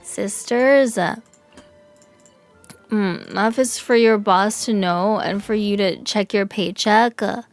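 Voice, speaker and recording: A young woman streaming a game, chatting casually with her chat, swearing and yelling in excitement now and then. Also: medium pitch, takes it slowly, dry recording, faint music behind. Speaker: VTuber streamer. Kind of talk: casual gaming livestream chat.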